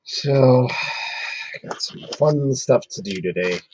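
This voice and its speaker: A man's voice: mid-range voice, lively intonation, slow pace, darkish tone, breathy edge, highs cut off. A man hosting a casual live stream, losing his voice and muttering to himself as he works. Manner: chatty, mutters to himself while working. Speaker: livestream host. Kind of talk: casual live-stream chat while working.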